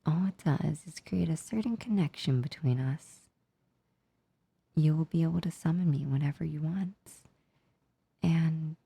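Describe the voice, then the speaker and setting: A woman voicing a gentle, affectionate demon in an ASMR roleplay, soothing and tender, with a soft, breathy, near-whispered delivery. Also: sits low, dry recording, exaggerated voice. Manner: slow, soothing, tender, unhurried. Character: gentle, comforting female sleep paralysis demon, affectionate and reassuring.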